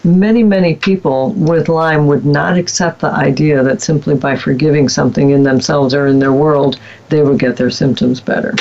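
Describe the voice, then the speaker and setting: A man's voice: on the high side, fairly even intonation, moderate pace, creaky, darkish tone. A man hosting an interview, supportive and affirming as he reflects on his guest's story. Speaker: host and practitioner. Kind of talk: interview conversation. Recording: dull lo-fi recording.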